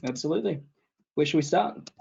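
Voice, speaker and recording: New Zealand man, 30s, warm low-key explainer, dull laptop-mic sound. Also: fairly quick, creaky, husky. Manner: steady, explanatory, starts answers with "absolutely". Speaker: support consultant, guest. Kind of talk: webinar explanation. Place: home room with bookshelf, indoors. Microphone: video call, laptop mic. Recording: dull lo-fi video call audio.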